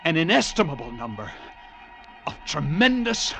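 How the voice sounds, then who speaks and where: A haunted lighthouse keeper narrating, hushed dread, lively swings, old radio recording with music. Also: male voice, on the high side, moderate pace, gravelly, full character voice. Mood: tense. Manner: hushed, dread-filled storytelling. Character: male lighthouse keeper telling his ordeal. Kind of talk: 1940s radio acting.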